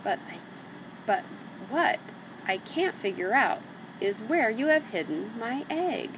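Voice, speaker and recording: A woman voicing a gentle, trusting mother hen in a children's storybook read-aloud. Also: on the high side, sing-song, slow pace, exaggerated voice. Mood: grateful, puzzled. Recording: clearly noisy background.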